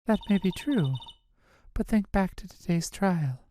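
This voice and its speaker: A woman's voice: mid-range voice, expressive, a little slow, warm tone, slight background noise, close to an everyday voice. A woman voicing a composed, earnest female chief prosecutor in a fan dub of a video game. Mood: earnest, persuading. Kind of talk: fan voice acting of a visual novel game.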